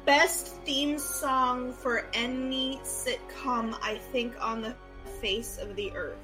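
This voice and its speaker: A woman's voice: sits high, steady tone, slow pace, over music, poor audio. A woman in her forties co-hosting a casual podcast, gushing and rambling with bubbly enthusiasm. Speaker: podcast co-host.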